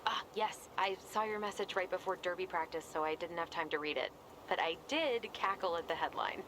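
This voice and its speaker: A woman's voice: on the low side, brisk, noisy background, lightly acted. An actress playing a wry, affectionate young American woman in a video game, chatty and dryly playful. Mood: amused. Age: young adult. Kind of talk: naturalistic video game dialogue.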